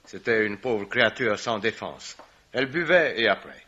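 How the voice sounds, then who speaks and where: A man's voice: fairly low pitch, lively intonation, moderate pace, sharp tone. An actor playing a sombre, world-weary cynical man in a vintage French radio mystery. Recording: dull vintage radio sound, faint noise.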